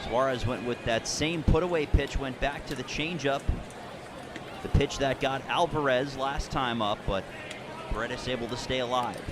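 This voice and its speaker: A man calling baseball play-by-play in a descriptive, measured radio broadcast style. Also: medium pitch, background noise. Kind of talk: live radio sports commentary with sponsor reads.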